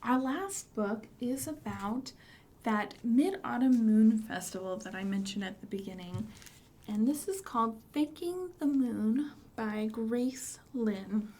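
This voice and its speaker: A woman's voice: a little high, lively intonation, slow, darkish tone. A woman hosting a children's storytime, explaining books and rhymes simply for young listeners. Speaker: children's librarian, storytime host.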